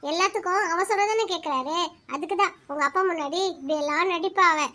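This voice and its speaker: A woman voicing a sharp-tongued, defiant stepmother in a cartoon. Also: quick pace. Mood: scornful. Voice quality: very high-pitched, shrill. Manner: snapping and argumentative. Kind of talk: cartoon voice acting.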